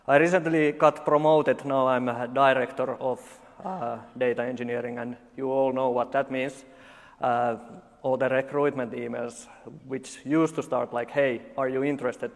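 Man, Finnish accent, forties, deadpan conference speaker, frequent fillers, reverberant hall. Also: mid-range voice. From Finland. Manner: halting, many uh fillers, dry jokes. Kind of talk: conference talk with deadpan humor.

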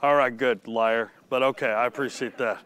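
A middle-aged man who is losing his voice gives a technical conference talk, clearing his throat and cracking self-deprecating jokes with the audience. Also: mid-range voice, steady tone, moderate pace, a bit bright. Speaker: conference speaker.

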